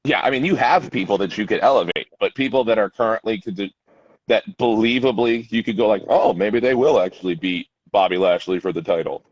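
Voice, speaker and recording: A man's voice: on the low side, a little fast, dry recording, lo-fi sound. A man co-hosting a wrestling podcast in casual chat, agreeable and rambling, with frequent yeahs. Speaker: podcast co-host.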